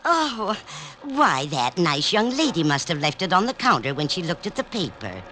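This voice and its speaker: An actress playing a sharp-tongued old woman selling newspapers who is secretly in on a scheme, in a 1940s radio drama. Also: lowish voice, very animated, normal speed, slight background noise. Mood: feisty. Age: older. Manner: street-hawker calls, sharp-tongued. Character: feisty old woman newspaper seller, a crook.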